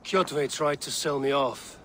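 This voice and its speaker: An actor playing a determined Viking warrior in a video game cutscene, firm and terse. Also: male voice, medium pitch, fairly even intonation, slow pace, bright, forceful tone, a little hiss or hum. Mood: resolute. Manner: firm, terse, dry confidence. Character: determined Viking warrior, man, young adult.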